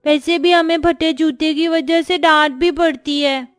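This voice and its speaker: A very high, childish voice, put on by a woman for a young cartoon schoolkid. The child is whiny and insistent, recorded dry over faint music. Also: steady tone, unhurried, dry recording. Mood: pleading. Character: whiny, demanding young schoolchild pleading with parents. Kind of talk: Hindi moral-story cartoon dialogue.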